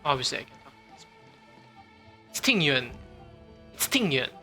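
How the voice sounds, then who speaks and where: A man's voice: on the high side, very animated, normal speed, bright, forceful tone. A man streaming a video game, giving casual commentary with jokey, irreverent asides. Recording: music and game noises behind.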